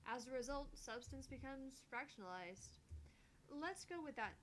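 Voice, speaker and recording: A woman's voice: high voice, expressive, normal speed, fairly bright tone, slight background noise, pitched above the performer's own voice. A woman voicing a skeptical, brainy young woman scientist in a visual novel, explaining matter-of-factly and dismissively. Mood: analytical. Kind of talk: visual novel dialogue read aloud.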